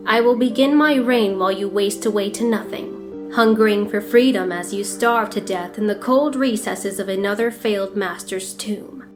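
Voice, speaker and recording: A woman playing a cold, imperious young ninja clan leader in a motion comic, speaking in a haughty, measured, formal way. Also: mid-range voice, music in the background, natural voice. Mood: cold contempt. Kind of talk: motion comic villain monologue.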